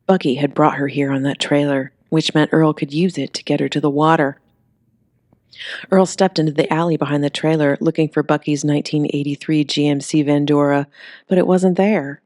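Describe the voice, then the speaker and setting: Low-voiced woman reading a story. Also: a little mellow, dry recording, studio quality. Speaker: audiobook narrator. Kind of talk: scripted storybook narration.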